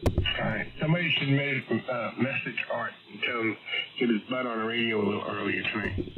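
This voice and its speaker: A man's voice: medium pitch, fairly quick, over a noisy crowd. A man bantering casually as an amateur radio operator, heard through a radio transmission. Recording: narrowband ham radio, very heavy static.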